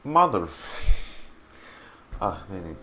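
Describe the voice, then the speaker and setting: A man doing casual, expressive live game commentary, informally muttering to himself with a creaky voice. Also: sits low, takes it slowly, slight background noise. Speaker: streamer reacting to a game. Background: game music.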